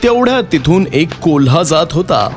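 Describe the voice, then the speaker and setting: A man's voice: on the high side, big pitch swings, normal speed. A man narrating a scripted moral story for children as a storyteller. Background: background music.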